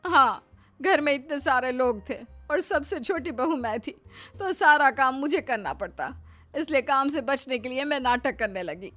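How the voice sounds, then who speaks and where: A woman voicing a sharp-tongued middle-aged mother-in-law, a fretful hypochondriac in a cartoon family story, with a scolding, melodramatic manner. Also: sits high, lively intonation, normal speed, faint music behind. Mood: defensive confession. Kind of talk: Hindi animated moral story acting.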